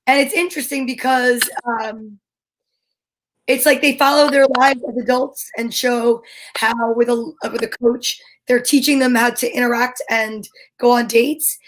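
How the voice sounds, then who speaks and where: A woman co-host with a notably high voice talks casually. She explains things in a conversational way, working out her thoughts aloud. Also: fairly even intonation, a little slow, a little hiss or hum, low-quality recording. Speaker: co-host of a comedy talk show. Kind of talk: casual chat.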